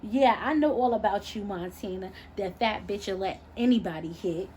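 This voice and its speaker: A woman's voice: medium pitch, moderate pace, darkish tone, a little hiss or hum, lightly acted. A woman voicing a surly young man in a novel reading, curt, profane and insulting. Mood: sneering.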